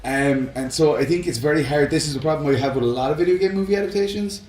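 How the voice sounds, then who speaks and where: A man's voice: fairly high pitch, fast talker, a little mellow. Chatty man in an echoey room. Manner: chatty, laughs often, many fillers. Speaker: podcast co-host. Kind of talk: casual chat about films and games.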